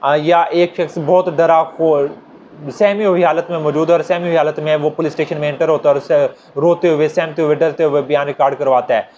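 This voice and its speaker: A man's voice: on the high side, steady tone, a little fast, a little mellow. A man with a Pakistani accent giving an informal explanatory law lecture, conversational, repeating his points and walking through examples. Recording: dull lo-fi audio with noticeable noise.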